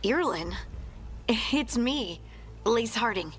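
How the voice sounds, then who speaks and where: An actress playing a friendly, steady female scout in a fantasy video game cutscene. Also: fairly high pitch, slow pace, a little hiss or hum. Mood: friendly, earnest.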